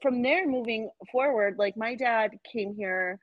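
A woman's voice: a little high, unhurried, dry recording, highs cut off. A woman, hosting a podcast in a frank, chatty way, full of "like". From United States. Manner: chatty, lots of "like", animated and frank. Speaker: podcast host. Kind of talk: casual chat.

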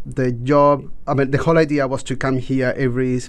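A man's voice: medium pitch, little pitch movement, brisk. Man, chatting. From Chile. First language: Spanish. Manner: rambling, with many restarts and repeated words. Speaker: guest. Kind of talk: casual interview chat.

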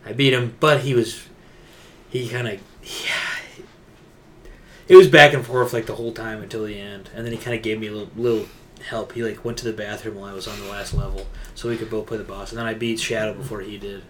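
A man's voice: fairly low pitch, steady tone, brisk, fairly bright tone, slight background noise. A man co-hosting a laid-out, casual chat about video games, talking like an enthusiastic fan with lots of filler "like". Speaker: podcast co-host.

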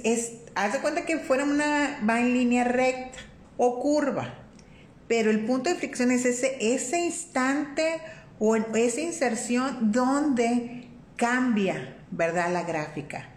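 Mexican woman chatting on her live stream, warm and easygoing, keeps asking "right?", echoey room with a little hiss. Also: fairly high pitch, animated, slow pace. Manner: warm and conversational, greets viewers by name, often asks "¿verdad?". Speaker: host of a faith-based live stream. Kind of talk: casual live-stream talk on faith and life. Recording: roomy echo with faint room noise.

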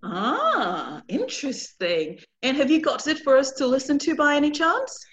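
A woman's voice: high voice, very animated, measured pace, a bit bright. A woman hosting a podcast, interviewing in a casual chatty style. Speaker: podcast host.

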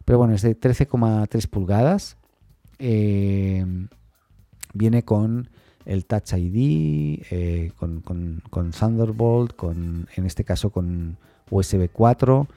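A man hosting a tech news podcast alone, talking informally and haltingly as he thinks aloud, often adding little questioning tags. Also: lowish voice, creaky, warm tone, dry recording.

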